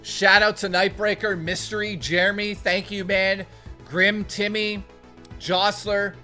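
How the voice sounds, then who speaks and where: A man streaming, hoarse and losing his voice, rambling through a casual sign-off and thanking viewers by name. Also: sits high, flat delivery, measured pace, sharp tone, faint music behind.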